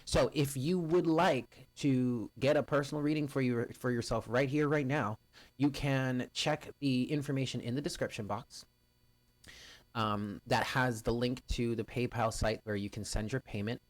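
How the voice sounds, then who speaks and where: A man hosting a live stream of readings, chatting casually with viewers and greeting them by name in a loud, carrying voice. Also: mid-range voice, steady tone, moderate pace, no room sound. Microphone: home stream mic, first too quiet, then louder.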